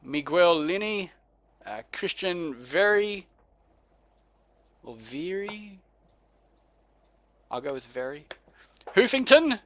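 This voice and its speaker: A man's voice: high voice, big pitch swings, takes it slowly, pauses often, a bit bright. A man with an Australian accent, co-hosting a casual podcast in a laid-back way, chuckling and stumbling over names as he reads them out. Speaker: podcast co-host. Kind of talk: casual chat.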